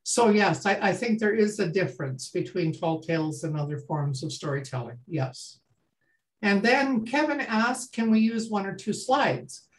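A woman's voice: mid-range voice, lively intonation, normal speed, rough recording. A woman, leading an informal workshop over a video call. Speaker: workshop leader. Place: small home room, indoors. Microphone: video call computer mic.

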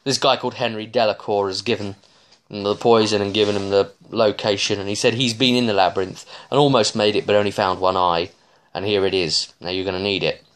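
A man in his thirties with a fairly low voice talks casually in a small living room, picked up by a camera about an arm's length away. He recaps as a tabletop game master in a halting, digressive way, often restarting mid-sentence. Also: fairly even intonation. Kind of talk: casual, rambling vlog recap.

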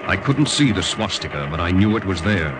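Low-voiced American secret agent in radio drama. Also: male voice, big pitch swings, a little fast, background noise. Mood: measured narrating. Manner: dramatic, suspenseful storytelling. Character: tense American male secret agent recounting his wartime mission. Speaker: actor in lead role, narrating in first person. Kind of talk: 1950s radio drama acting, first-person narration. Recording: old 1950 radio recording, music behind.

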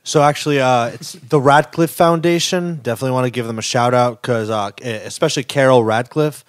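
A man's voice: mid-range voice, a little fast. A young man telling a story on a podcast, casual and chatty, with lots of filler words. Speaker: kombucha company co-founder.

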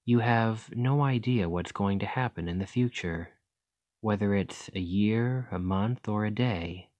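A man playing an earnest, chatty scholar in an ASMR roleplay, speaking gently and hesitantly as he rambles and interrupts himself. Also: on the low side, unhurried, no room sound, lo-fi sound, close to an everyday voice. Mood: musing, rambling. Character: earnest, rambling male scholar gently reassuring a captive creature.

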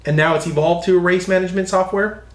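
Higher-voiced man hosting in a roomy workshop. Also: steady tone, fast talker. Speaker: interviewer, host. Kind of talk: casual product interview. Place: indoor workshop with hard walls. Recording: roomy echo, faint background noise, clicks.

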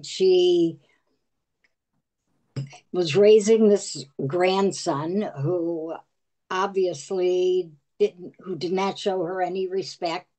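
Slow-speaking older woman on a call. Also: lowish voice, mellow, rough recording. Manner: slow, thoughtful. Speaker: discussion participant. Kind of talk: casual video call discussion. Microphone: video call.

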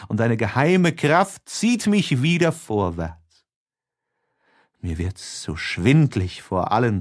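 Audiobook role: tormented young male lover, played by a male reader, slow, impassioned, sighing, sensitive. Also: on the low side. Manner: impassioned, sighing, swinging between hush and exaltation. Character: lovestruck, tormented, sensitive young man writing letters.

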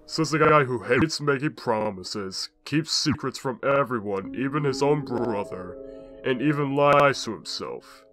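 A glitchy skeleton destroyer, smug and taunting. He drags his words out theatrically and swings his pitch up and down with mocking relish. Music plays behind this comic dub villain. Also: lively intonation, unhurried, fairly bright tone. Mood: sneering, smug. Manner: drawling, mocking, chuckling delivery.

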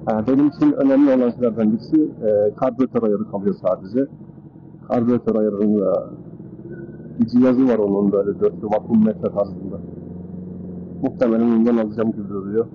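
A man's voice: lowish voice, steady tone, brisk. A man vlogging casually from his motorcycle, chatting and muttering to himself as he rides through town. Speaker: motovlogger. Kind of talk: casual riding commentary. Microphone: AirPods earbuds under helmet. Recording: muffled earbud mic with loud road noise. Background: engine and traffic noise.

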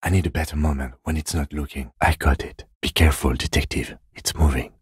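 A man playing a calm, composed middle-aged police detective in a video game, speaking in a measured, restrained and precise way. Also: extremely low pitch, husky, dry recording, clean studio sound, exaggerated voice. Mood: tense, hushed focus. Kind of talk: video game voice acting, understated dialogue.

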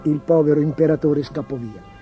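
A man's voice: a little high, moderate pace. A man narrating a scripted fairy tale for children as a storyteller. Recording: dull, muffled lo-fi track. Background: music.

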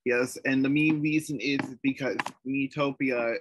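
A man's voice: medium pitch, steady tone, a little slow, noisy background. A man casually explaining over a video call, stumbling over restarts as he goes. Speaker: let's play project co-organizer.

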